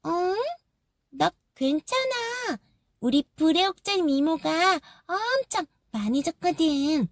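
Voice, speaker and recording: A small, cheerful moss chatters politely in a high, lively voice, as a woman puts it on. The sound is dry and close, like a storybook audiobook. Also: takes it slowly, dry recording, exaggerated voice. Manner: bright, chirpy, polite.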